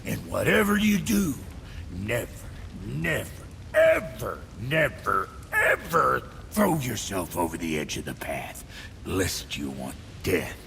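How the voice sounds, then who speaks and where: An actor playing a brash, cantankerous dwarf shopkeeper in a video game, gravelly and boastful with folksy delivery. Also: male voice, fairly low pitch, wildly swooping pitch, slow pace, a bit bright, slight background noise, heavily acted. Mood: emphatic warning. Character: brash, foul-mouthed male dwarf blacksmith shopkeeper. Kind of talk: comic video game character acting.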